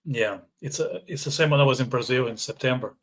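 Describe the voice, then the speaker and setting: A man's voice: mid-range voice, brisk, crowd noise. Portuguese-accented man hosting a call. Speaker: podcast host. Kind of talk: casual video-call interview. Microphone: video call.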